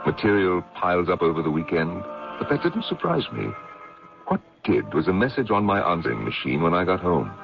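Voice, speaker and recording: A man acting in an old-time radio drama as an earnest, protective, excitable magazine editor, theatrical and swinging from hushed to emphatic. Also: sits low, a little fast, gravelly, music in the background, exaggerated voice. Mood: narrating. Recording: dull lo-fi radio drama recording.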